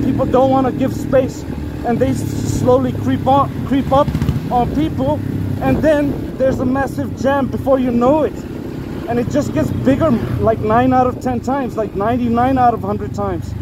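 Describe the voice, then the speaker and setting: A man's voice: very high-pitched, fairly even intonation, normal speed. A man from Nepal giving rambling, casual commentary while riding a motorcycle through city traffic, talking frankly with lots of fillers. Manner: rambling, filler-heavy, frank with some swearing. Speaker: motovlogger. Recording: loud road and engine noise.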